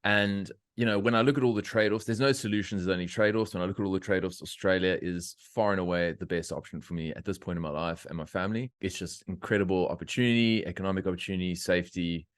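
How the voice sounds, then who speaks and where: A middle-aged man from South Africa hosting a podcast, talking in opinionated, animated rants with laughter. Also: low-pitched, fast talker, few pauses, no room sound. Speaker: podcast host. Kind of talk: casual interview chat.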